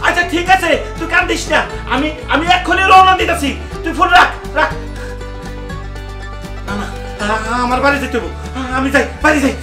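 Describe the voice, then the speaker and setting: A man with a Bangladeshi accent playing a boastful, eccentric scientist uncle in a comic cartoon, excitedly repeating his words. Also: very high-pitched, moderate pace. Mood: alarmed. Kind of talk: comic children's cartoon acting. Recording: echoey, distant voice with faint music.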